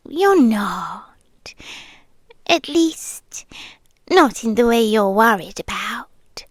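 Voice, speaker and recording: A British woman voicing a teasing, eerie presence inside the listener's head for an ASMR roleplay, slow and intimate, soft and breathy with frequent whispers. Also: high voice, dry recording, full character voice. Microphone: close, dry microphone.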